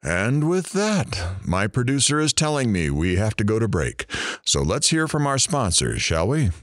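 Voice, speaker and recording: A man performs an irreverent radio talk show DJ for an audio drama. His voice sits fairly low and swings widely in pitch, dry and close. He is sarcastic and showy. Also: very animated, moderate pace, no room sound. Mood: teasing.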